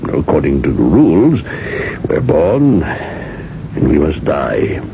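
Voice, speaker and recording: An actor playing a mysterious, unhurried seller of eternal life in a radio drama, measured and gently amused. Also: male voice, very deep voice, sing-song, full character voice. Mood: enigmatic, amused. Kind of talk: 1980s radio drama acting. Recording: dull, hissy old radio recording.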